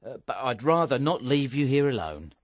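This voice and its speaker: A woman voicing a respectful, doubtful working man in a storybook reading, halting and deferential. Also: very deep voice, very animated, no room sound, studio quality, voice pushed down, full character voice.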